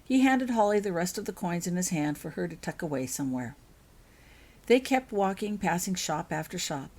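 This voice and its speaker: A woman narrates a storybook tale. Her voice sits on the low side and has a noticeably husky, breathy grain. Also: expressive, moderate pace, a little mellow. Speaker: author reading own fan fiction aloud.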